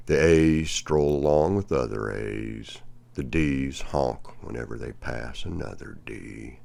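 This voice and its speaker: An older man reading aloud from a book with brief asides, in a deliberate delivery that swings from emphatic to hushed. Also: rumbling low, vocal fry. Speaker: reader of a poetry textbook.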